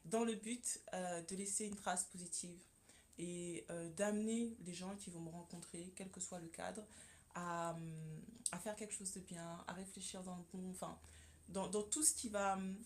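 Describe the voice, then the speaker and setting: A woman from Congo speaks as a radio guest with a fairly low voice. She talks earnestly, pausing often and repeating words as she searches for them. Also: fairly even intonation, darkish tone, slight background noise. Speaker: guest, communication consultant. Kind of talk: radio interview, personal testimony. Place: radio studio.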